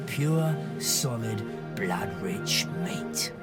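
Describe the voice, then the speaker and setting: A grumbling old-time sailor, music behind. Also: male voice, on the low side, expressive, very slow. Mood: grim craving. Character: disgruntled nineteenth-century sailor reading his journal, hungry, bitter. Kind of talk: dramatic diary narration in a video game. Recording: voice under loud music.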